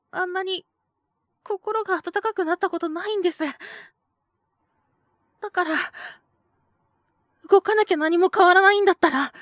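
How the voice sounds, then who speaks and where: A woman's voice: extremely high pitch, a bit bright, no room sound, studio quality. A woman voicing an earnest, naive young man who speaks politely and hesitantly, pleading with resolve, in an audio drama. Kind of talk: Japanese situational audio drama acting.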